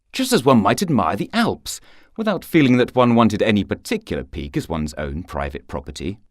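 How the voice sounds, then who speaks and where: A man narrating a short story for radio in a theatrical, expressive manner. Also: deep voice, dry recording, clean studio sound. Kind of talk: scripted literary narration for radio.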